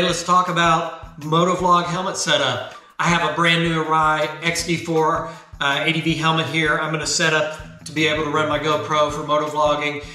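A man in his fifties hosting a motorcycle vlog channel, explaining a helmet setup in a relaxed, chatty, self-deprecating way at a desk indoors. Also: fairly high pitch, echoey room. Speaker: motovlog channel host. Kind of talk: casual gear tutorial. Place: indoor garage studio.

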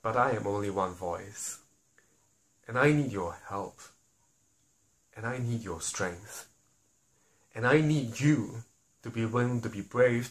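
A man from Singapore, making an earnest spoken appeal to the public, often slowing down and dropping quiet on key lines. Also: mid-range voice, low-quality recording.

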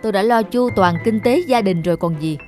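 A woman's voice: medium pitch, a little fast, mellow, natural voice. This is an irritable cartoon husband and father, voiced by a woman. He comes across as self-important and speaks bluntly and dismissively. Faint music runs underneath. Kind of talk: cartoon dubbing.

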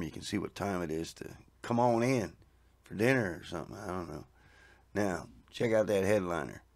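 A middle-aged man talks in a folksy, easygoing way, chuckling at his own jokes. His voice sits low with a gravelly rasp. The recording is dry and close. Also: dry recording. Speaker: garage video host. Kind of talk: casual narration over photos.

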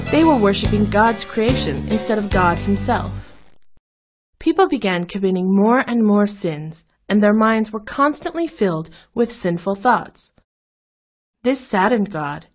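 Husky woman storybook narrator. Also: mid-range voice. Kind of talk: scripted children's Bible story narration. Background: music.

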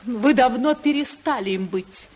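A senator's wife in a 1950s radio play. Her voice is high, and she is proud and distressed, shifting between pleading and indignant defiance. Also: expressive, a little slow, a little mellow, slight background noise. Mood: cold, bitter. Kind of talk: 1950s Soviet radio drama acting.

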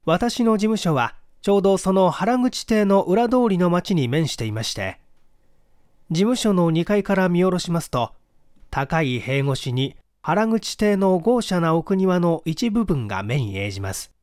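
A man narrates an audiobook mystery in a slightly high voice. His intonation moves widely and expressively, while the delivery stays formal and measured. The recording is dry and close. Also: expressive, a little mellow, no room sound. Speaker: narrator reading a classic mystery story aloud. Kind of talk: dramatic scripted audiobook narration in first person.

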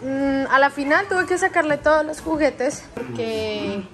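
A woman's voice: high-pitched, measured pace, noisy background. A young woman with a Colombian Spanish accent chats casually as a home vlogger, affectionate and fond of diminutives. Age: young adult. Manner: affectionate, uses many diminutives. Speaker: vlogger and mother. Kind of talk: casual home vlog chat. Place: indoors in an apartment.

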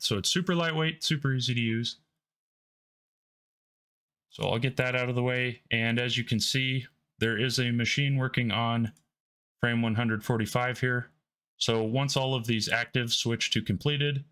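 A man hosting a tech channel, talking through a screen-recorded software demo in a relaxed way, thinking aloud with frequent ums, from his home workstation. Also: mid-range voice.

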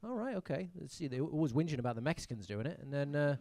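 A man's voice: a little high, very animated, fast talker, darkish tone. A young man with a mixed British and American accent doing stand-up crowd work on a comedy club stage, teasing the audience and swearing, speaking into a handheld mic.

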